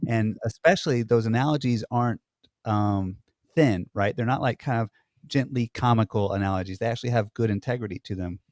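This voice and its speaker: A man's voice: on the low side, fairly quick, darkish tone, no echo, studio quality. A man co-hosting a podcast, talking hesitantly and questioning himself in casual conversation. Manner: hesitant, self-questioning, restarts. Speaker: podcast co-host.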